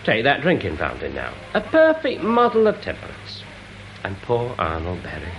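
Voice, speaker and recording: A self-important provincial busybody in a vintage radio play. His pitch swings wildly as he delivers earnest, sententious speeches, over faint background noise. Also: wildly swooping pitch, moderate pace, dull audio. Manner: pompous, sententious, wordy. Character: pompous small-town busybody with earnest crackpot theories. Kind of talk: radio drama acting.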